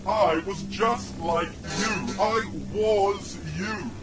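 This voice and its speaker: An actor playing a weary, solemn man cursed to be a dishwasher, confessing haltingly in an audio drama. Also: high-pitched, loud music behind the voice, rough recording. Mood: weary, confessional.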